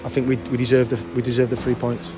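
A man in his forties, a football manager, giving a post-match interview at a stadium with a handheld mic. He talks fast and often restarts and repeats words. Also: medium pitch, monotone, music in the background. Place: outdoors by a cafe banner at a stadium.

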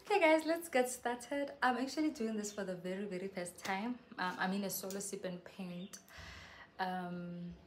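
A young woman from South Africa talks straight to the camera in a soft, mid-pitched voice. She is chatty and earnest and keeps calling her viewers "guys." She is recorded close on the camera mic on an outdoor patio, with a faint hum of ambience behind her. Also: moderate pace. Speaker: YouTuber. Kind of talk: casual vlog chat to camera. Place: covered patio outside a house.

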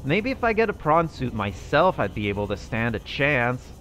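A man's voice: mid-range voice, sing-song, normal speed, a bit bright, slight background noise. Man musing aloud over a survival game. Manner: thinking aloud to himself, musing and hesitant. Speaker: roleplay gaming channel host. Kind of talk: in-character survival game roleplay.

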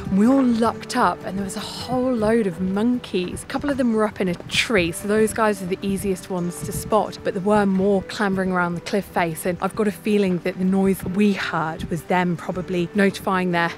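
Woman in her thirties, travel vlogger, chatty and upbeat, outdoors with background music, medium-pitched voice. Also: steady tone, normal speed, few pauses. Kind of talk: hiking vlog narration. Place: outdoors on a mountain trail. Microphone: phone camera at arm's length.

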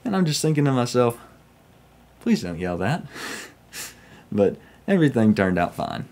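A man's voice: mid-range voice, very animated, a little slow, darkish tone. A young man from Oklahoma with a Southern US accent, casually telling first-person railfan stories in a folksy way. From Oklahoma, United States. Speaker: railfan vlogger. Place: small room at home, indoors.

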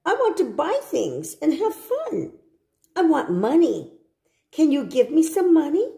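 A woman reads as a self-absorbed boy, now grown, in a children's storybook. The lines are plain and insistent, high and melodic, in a roomy-sounding space. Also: wildly swooping pitch, a little slow, creaky, dark tone, heavily acted. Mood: wanting, insistent. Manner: plain-spoken, insistent, asking.

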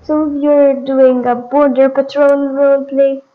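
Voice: high-pitched, little pitch movement, unhurried. A gaming host giving a casual walkthrough of game updates, hesitant and thinking aloud, on a dull lo-fi microphone.

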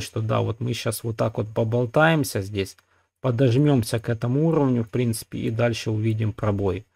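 Casting: male crypto host, gravelly and mellow, casual chart-talk voice-over. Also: mid-range voice. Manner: casual, many fillers, addresses viewers as guys. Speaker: crypto market analyst, channel host. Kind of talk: screen-recorded chart analysis.

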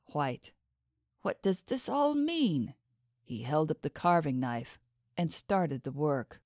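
A woman reads a fantasy novel as an audiobook narrator. Her voice is pitched low for a woman. The recording is dry, with no room sound. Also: darkish tone, no room sound.

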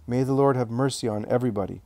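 A man reading aloud, voicing a compassionate elderly woman who speaks softly. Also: mid-range voice, fast talker, no room sound, natural voice. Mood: gentle.

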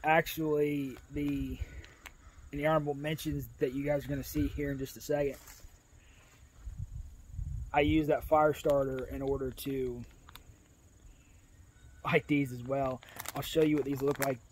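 A man's voice: mid-range voice, little pitch movement, a little slow, pauses often, slight background noise, rough recording. An American man, around his thirties, casually reviewing gear outdoors in a folksy, conversational way. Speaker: outdoor video host. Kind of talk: casual product review to camera. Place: outdoors in a grassy rural yard.

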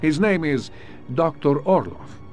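An actor playing a sly KGB agent in a game, formal and poetic, with a Russian accent. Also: male voice, fairly high pitch, lively intonation, measured pace, faint music behind. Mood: conspiratorial. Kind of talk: video game cutscene acting.

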